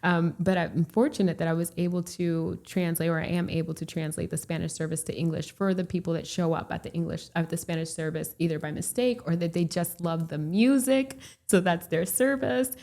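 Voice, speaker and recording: Woman in her thirties, from Puerto Rico, chatty interview guest; low, gravelly, mellow voice, laughs a lot. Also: brisk, few pauses. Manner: animated, laughs often, chatty and warm. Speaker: real estate broker, podcast guest. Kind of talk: casual interview chat.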